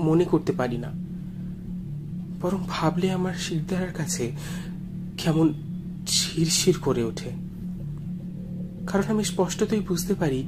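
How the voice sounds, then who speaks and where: A man's voice: high-pitched, low-quality recording. Man narrating suspense over music. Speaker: story narrator. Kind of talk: dramatic first-person audio story narration. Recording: music behind the voice.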